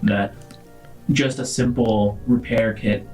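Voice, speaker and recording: A man running a tabletop roleplaying game, telling the story to the players in a halting, deliberate way. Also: fairly low pitch, fairly even intonation, a little mellow, faint music behind. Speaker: game master.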